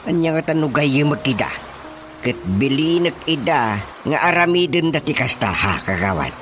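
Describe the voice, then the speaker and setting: A man acting in an Ilocano radio drama as a village watchman spooked by spirits, talking in a drawn-out, halting way. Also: mid-range voice, big pitch swings, close to an everyday voice. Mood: insistent. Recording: music playing behind the voice. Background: prominent background music.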